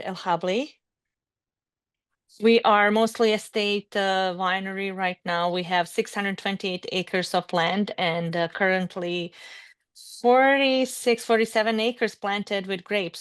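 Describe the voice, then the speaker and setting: Woman, bosnian accent, winemaker guest, smooth clear voice, dry sound, friendly and a bit halting. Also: medium pitch, no room sound. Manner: friendly, slightly halting English. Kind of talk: video call interview.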